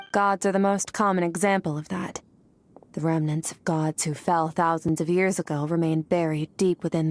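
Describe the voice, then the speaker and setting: A woman's voice: low voice, darkish tone, slight background noise. A woman voicing an aloof, cold young woman warrior who speaks in a cool, detached and clipped way. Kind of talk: game voice acting.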